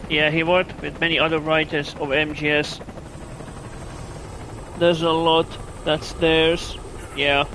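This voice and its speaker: Bright-voiced man streaming. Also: fairly high pitch, little pitch movement, takes it slowly, pauses often, slight background noise, low-quality recording. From Finland. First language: Russian. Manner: chatty, answers viewers' chat, restarts phrases. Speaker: game streamer. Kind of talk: casual gameplay commentary.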